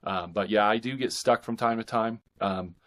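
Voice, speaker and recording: A man speaking as a guest author in a casual interview, earnest and reflective, often halting and repeating words. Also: on the low side, fairly bright tone. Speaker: guest, indie fiction author.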